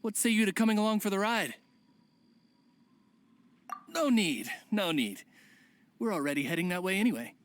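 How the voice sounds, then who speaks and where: A woman acting a shifty, animated bandit. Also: mid-range voice, moderate pace, pauses often, slight background noise, close to an everyday voice. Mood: falsely friendly. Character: two-faced bandit posing as friendly merchant, then menacing. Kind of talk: anime-style video game voice acting.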